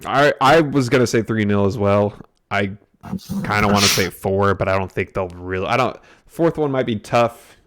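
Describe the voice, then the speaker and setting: A man's voice: on the low side, normal speed, fairly bright tone, a little hiss or hum. A man co-hosting a soccer podcast, laid-back and casual, giving match predictions. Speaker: podcast co-host. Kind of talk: casual sports chat.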